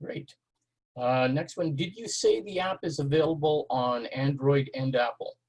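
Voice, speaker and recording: A man's voice: mid-range voice, unhurried, a little mellow, poor audio. A man acting as webinar moderator, reading out audience questions to a presenter.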